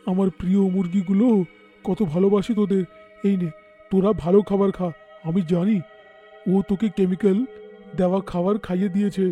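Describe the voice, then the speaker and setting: A man voicing a righteous, protective chicken farmer in an animated horror folk tale, with an emphatic, dramatic delivery. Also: high voice, brisk, pauses often, soft background music, close to an everyday voice. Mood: tender.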